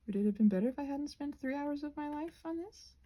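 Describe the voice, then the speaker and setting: A soft-spoken woman with a breathy voice that often nears a whisper, rambling casually and self-deprecatingly to herself as she crafts. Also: high voice, animated, quick pace, muffled recording. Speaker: craft and ASMR video creator.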